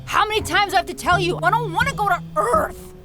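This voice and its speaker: A cynical, troublesome cartoon soul, whiny and stubborn. The voice is extremely high and bounces around in pitch, with music behind it. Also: female voice, lively intonation, fast talker. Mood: exasperated.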